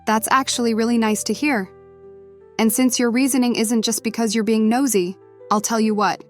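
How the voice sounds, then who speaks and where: A woman playing a guarded, no-nonsense but fair-minded woman in an animated web series, with a dry, measured manner. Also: on the high side, faint music behind, lightly acted. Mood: softening.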